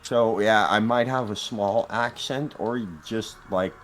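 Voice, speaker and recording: A man's voice: fairly low pitch, slow, a little hiss or hum. A Dutch man in casual chat, native Dutch speaker, who talks hesitantly and repeats words. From Netherlands. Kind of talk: casual group chat while gaming.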